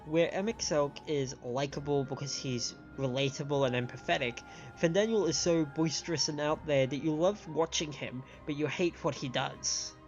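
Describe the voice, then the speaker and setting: A male game streamer with a fairly high voice talks casually and explains as he goes. The audio is slightly dull, with faint game music behind. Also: moderate pace, few pauses. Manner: chatty, explanatory. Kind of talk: casual live game commentary.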